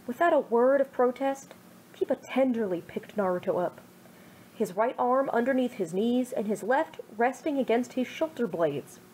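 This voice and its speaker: A woman's voice: on the high side, lively intonation, moderate pace, a little mellow, a little hiss or hum, rough recording. A woman in her twenties, a streamer reading her own old fan fiction with self-mocking, over-the-top jokes. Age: 20s. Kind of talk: casual chat then dramatic story reading.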